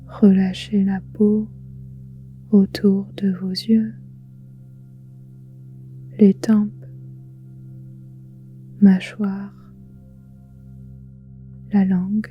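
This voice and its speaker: A woman guiding a relaxation meditation in a soft, breathy, whispery voice, soothing, with gentle pauses. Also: mid-range voice, flat delivery, dull audio. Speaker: yoga nidra guide. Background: soft music with singing bowls.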